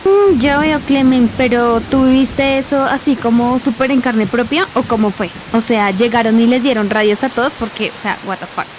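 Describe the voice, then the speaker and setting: A woman's voice: on the high side, moderate pace, few pauses, noisy background, natural voice. A woman playing a blunt, curious young granddaughter who talks in city slang in an amateur radio drama. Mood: curious, baffled. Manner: slangy youth talk with English bits.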